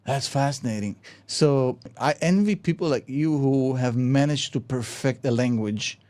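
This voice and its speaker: A man's voice: mid-range voice, unhurried, no room sound. A man who is not a native English speaker, with a noticeable accent, hosting a casual podcast chat and telling stories with a laugh. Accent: foreign accent in English. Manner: laughing, animated storyteller, says "bro" a lot. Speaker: podcast host.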